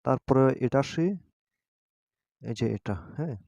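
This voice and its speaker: A man leads a casual tutorial in a mellow, dark voice. He explains step by step and keeps adding little check-in questions. The recording is close and dry. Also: medium pitch, measured pace, gravelly, no room sound, highs cut off. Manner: repeatedly adds a checking tag question. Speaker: tutorial host. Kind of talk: casual screen-guided app tutorial.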